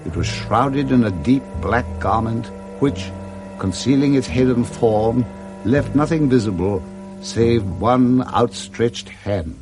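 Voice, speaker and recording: A man with a British accent narrating a 1960s radio drama in a measured, expressive storytelling way. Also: mid-range voice, over loud music. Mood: grave. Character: male storyteller narrating a Victorian Christmas tale.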